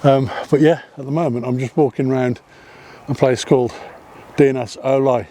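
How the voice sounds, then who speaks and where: A man's voice: mid-range voice, fairly even intonation, moderate pace, warm tone, a little hiss or hum. A man narrating a casual photography vlog while walking on a hillside path, chatty, with frequent ums and self-corrections. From not Welsh. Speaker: photography vlogger. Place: outdoors on a hillside path above a seaside town.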